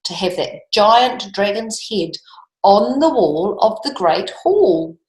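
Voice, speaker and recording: A woman voicing an excitable, covetous storybook king who exclaims and stretches out words. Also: mid-range voice, takes it slowly. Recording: echoey room.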